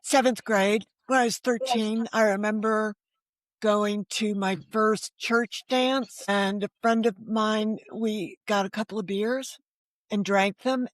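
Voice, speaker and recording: Casting: American woman around 70, candid, emphatic storyteller, medium pitch, dry close recording. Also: creaky, dry recording. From Connecticut, United States. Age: older, about 70. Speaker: novelist, interview guest.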